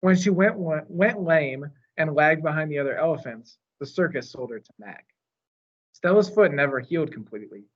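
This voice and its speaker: A man reading a children's novel aloud in a measured, expressive storytelling manner. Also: a little high, muffled recording. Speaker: storytime reader.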